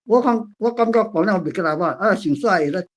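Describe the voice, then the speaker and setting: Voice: medium pitch, lively intonation, fast. An elderly speaker in their eighties with a Taiwanese accent, sharing casually in a group discussion in short fragments full of tag particles. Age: 80s. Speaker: participant in an online play-reading forum.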